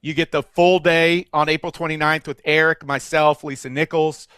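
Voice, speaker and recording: A man's voice: on the high side, little pitch movement, moderate pace, fairly bright tone, smooth, no echo, studio quality. A man hosting a webinar, upbeat and salesy as he runs through the details of an offer. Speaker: webinar host. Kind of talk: sales pitch.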